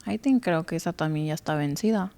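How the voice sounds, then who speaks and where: A young woman, a podcast guest chatting casually, animated and slangy, mixing English and Spanish. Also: sits low, rapid-fire, creaky. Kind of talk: casual chat.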